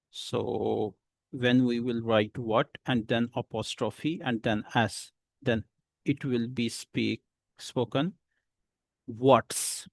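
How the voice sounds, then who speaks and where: Slow, gravelly-voiced male teacher. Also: mid-range voice, dry recording. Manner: slow, patient explaining with many pauses. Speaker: English teacher. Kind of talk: beginner English lesson.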